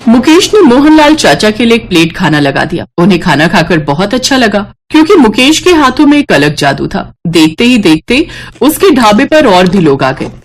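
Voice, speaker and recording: A woman's voice: medium pitch, sing-song, moderate pace. A woman narrating a scripted moral story as a storyteller.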